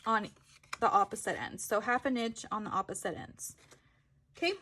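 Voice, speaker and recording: A woman's voice: medium pitch, normal speed, bright, forceful tone, slight background noise. A woman giving a casual step-by-step craft tutorial, informal, correcting herself and thinking aloud as she goes.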